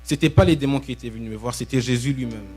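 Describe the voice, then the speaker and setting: A young man from Belgium preaches in church at a medium pitch. He speaks in short, emphatic phrases and pauses between them for interpretation. An instrument plays faintly behind him. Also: a little mellow, soft background music. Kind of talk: live sermon with pauses for interpretation. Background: musical instrument playing.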